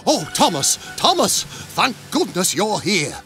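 An actor voicing a flustered, authoritative middle-aged railway boss in a children's cartoon, formal and emphatic. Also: male voice, high-pitched, sing-song, a little slow, creaky, fairly bright tone, faint music behind, full character voice. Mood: alarmed. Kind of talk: animated children's cartoon acting.

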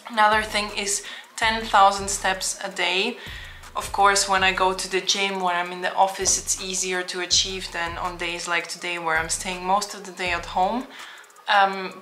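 A woman's voice: on the low side, bright, forceful tone. A young woman vlogging casually to camera at home, talking through her goals in a chatty, earnest way. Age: young adult. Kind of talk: casual vlog talk to camera. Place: home room, indoors.

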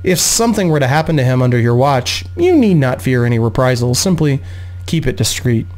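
A man voicing a gracious, composed noblewoman mother with a measured, genteel manner in a fantasy audiobook. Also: medium pitch, very animated, darkish tone, close to an everyday voice.

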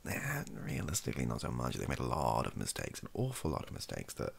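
Very deep, gravelly softly spoken British man. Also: wildly swooping pitch. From United Kingdom. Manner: hesitant, halting, self-correcting. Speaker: ASMR video creator. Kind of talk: softly spoken improvised rambling talk. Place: small indoor home room.

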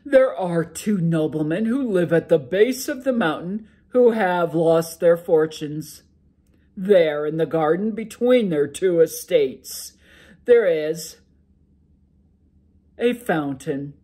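Storybook ogre, male, cranky and drowsy, a woman doing the voice, breathy edge. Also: on the low side, animated, slow, pitched above the performer's own voice, exaggerated voice. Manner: loud, grumbling, yawning.

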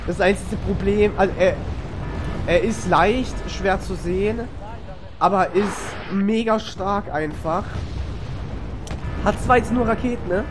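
A man with a cold, casually narrating a replay of his strategy game match, rambling with many fillers and self-corrections. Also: high-pitched. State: has a cold, coughs. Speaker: gamer commenting on a replay. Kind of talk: casual gameplay voice-over commentary. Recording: noisy home recording.

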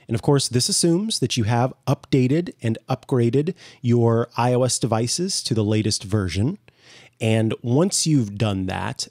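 A young man with a medium-pitched voice hosts a conversational software walkthrough. He lays out each step in turn. The sound is close and very dry, from a studio microphone in a small home office. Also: no echo. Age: young adult. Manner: explains step by step. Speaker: host of a tech tutorial show. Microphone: close desk studio microphone.

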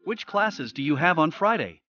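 A narrator reading scripted lesson headings and example sentences in a clear, measured way. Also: medium pitch, big pitch swings, bright, forceful tone, no echo. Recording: dry, slightly dull lo-fi.

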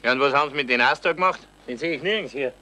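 A man's voice: mid-range voice, racing, bright, forceful tone. An actor playing a brusque policeman in a radio crime drama, with a colloquial way of talking. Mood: questioning. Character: brusque male policeman, the detective's colleague. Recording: noisy 1970s radio drama recording.

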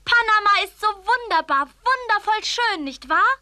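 A woman's voice: extremely high pitch, lively intonation, measured pace, sharp tone, dry recording, exaggerated voice. A woman playing an eager, naive little tiger cub in a children's audio play, childlike and exclaiming. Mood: delighted.

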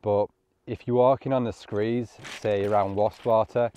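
A man's voice: lowish voice, steady tone, measured pace, warm tone, clean tone. A man, giving casual, matter-of-fact tips to camera outdoors. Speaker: landscape photographer presenting hiking tips. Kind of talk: casual instructional vlog talk to camera. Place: open grassy hillside outdoors.

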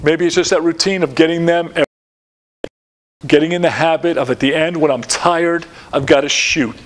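A man's voice: on the high side, fairly even intonation, moderate pace. An older man in his sixties giving a coaching clinic talk in a lecture room, rambling with many fillers and restarts. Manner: rambling, lots of fillers and restarts, gestures at slides. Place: dim lecture room in front of a projected slide.

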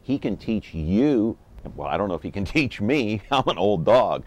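Male TV host in his 50s, low voice, chatty and upbeat, lapel mic in a studio. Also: big pitch swings, moderate pace. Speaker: TV talk show host. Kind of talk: local TV talk show hosting.

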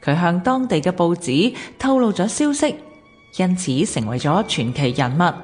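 A Hong Kong woman narrates history from a script in a fairly low voice. Her delivery is formal and measured, over faint instrumental music. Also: sing-song, a little mellow.

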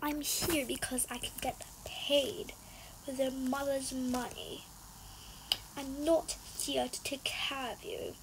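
A woman's voice: high voice, fairly even intonation, slow. A woman voicing a rude, lazy young babysitter in a toy-game roleplay, sneering and bossy with exasperated huffs. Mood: contemptuous, bossy. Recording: noisy home recording with clicks.

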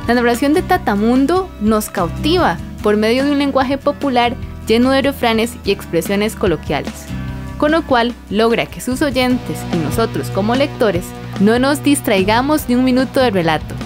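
A woman's voice: a little high, lively intonation, normal speed. A woman giving prepared, formal literary commentary in the didactic manner of a professor. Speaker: literature professor, guest specialist. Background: music.

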